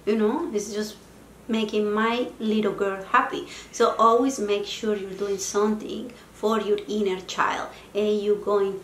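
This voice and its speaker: A woman's voice: medium pitch, fairly even intonation, measured pace, a bit bright, echoey room, a little hiss or hum. A young woman with a Latin American Spanish accent, a native Spanish speaker, talking casually and playfully to her viewers about self-care, dropping Spanish words into her English. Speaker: vlogger. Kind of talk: casual self-help talk to camera.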